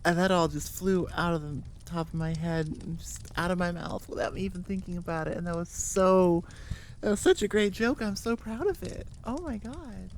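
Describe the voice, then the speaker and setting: A woman's voice: on the low side, moderate pace, darkish tone, slight background noise. A woman chatting casually and unscripted as the host of her audio channel, rambling in a playfully self-mocking way. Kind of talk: casual unscripted outro chat.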